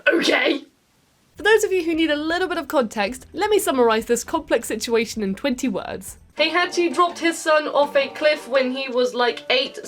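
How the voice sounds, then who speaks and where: A woman's voice: high voice, fairly bright tone. A woman with a British accent giving a playful, sarcastic video game review, speaking directly to viewers as host. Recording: background music under voice. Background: music.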